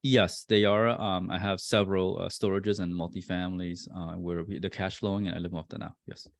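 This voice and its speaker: Halting deep-voiced man, dry close mic. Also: little pitch movement, creaky, dry recording. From born in Vietnam, raised in New Jersey. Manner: halting, many fillers and restarts. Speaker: guest, real estate investor. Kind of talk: casual interview answers.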